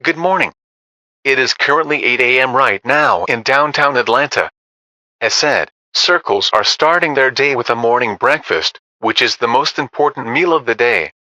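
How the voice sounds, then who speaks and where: Bright, synthetic male news anchor, dry sound. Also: medium pitch, expressive, moderate pace, dry recording. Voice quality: synthetic text-to-speech voice. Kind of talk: scripted news report.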